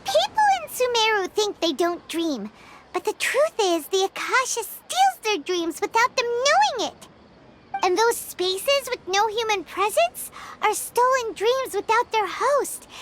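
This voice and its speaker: A woman voicing a tiny, excitable, childlike fairy companion in a video game, inquisitive and exclamatory, speaking of herself in the third person. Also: very high-pitched, heavy background noise, full character voice. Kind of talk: animated video game cutscene acting.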